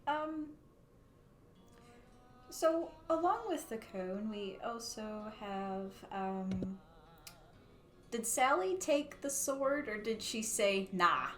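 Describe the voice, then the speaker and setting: Brief: unhurried woman game player, halting with ums, echoey room. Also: medium pitch, lively intonation, faint music behind. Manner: hesitant, many pauses and fillers. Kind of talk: casual in-character game talk.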